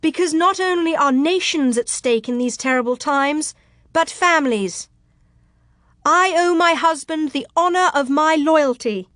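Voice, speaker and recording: A woman's voice: high voice, a little slow, a bit bright, no room sound, clean studio sound, voice pushed up. A woman voicing a proud, passionate medieval noblewoman in an audiobook, declaiming in impassioned, ringing tones. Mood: ringing defiance.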